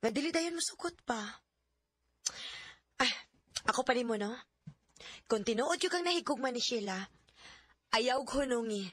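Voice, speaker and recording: A woman's voice: on the high side, expressive, normal speed, long pauses, fairly bright tone, husky, no echo. An actress playing a middle-aged mother who teases and encourages her son, with a Bisaya accent, in a Filipino radio drama. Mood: encouraging.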